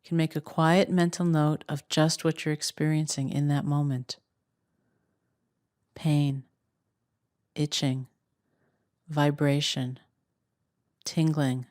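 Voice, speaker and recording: A woman with a low voice leads a guided meditation as a teacher. She speaks calmly and without hurry, leaving long pauses between phrases. The recording is close and dry, with almost no room sound. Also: dry recording. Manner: calm, unhurried, with long pauses.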